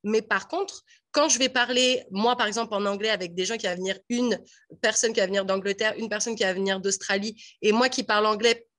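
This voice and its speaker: A woman from Paris with a Parisian French accent, chatting as a guest on a radio panel in a lively way with many fillers. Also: medium pitch, fairly quick. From France (Paris). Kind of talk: casual radio discussion.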